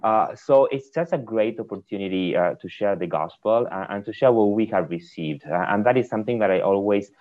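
A man's voice: on the low side, fairly quick, few pauses, narrow, tinny audio. A man with an Argentine accent and native Spanish, talking conversationally as a priest guest on a podcast, often repeating words mid-phrase. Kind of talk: casual conversational interview.

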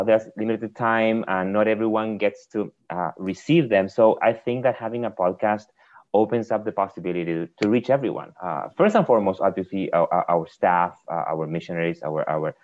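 A man's voice: lowish voice, moderate pace, narrow, tinny audio. A man with an Argentine accent and native Spanish, talking conversationally as a priest guest on a podcast, often repeating words mid-phrase. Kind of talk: casual conversational interview.

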